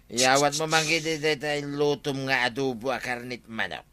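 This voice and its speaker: Grumbling, very forceful-voiced Ilocano uncle acted out. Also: male voice, mid-range voice, steady tone, moderate pace, clear, resonant tone, close to an everyday voice. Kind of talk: Ilocano comedy drama acting.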